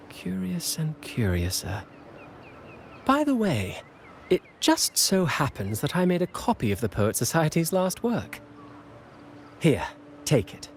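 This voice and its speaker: A man's voice: medium pitch, slight background noise. Theatrical, wry male playwright in a game. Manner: theatrical, eloquent, relishing ominous words. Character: theatrical male playwright, brooding, wry and fond of dark tales. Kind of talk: dramatic video game voice acting.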